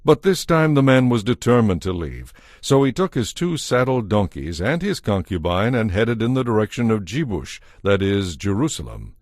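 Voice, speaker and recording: A man narrating a dramatized Bible as a scripted story, in a measured storytelling manner. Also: lowish voice, sing-song, a little mellow, no echo, studio quality.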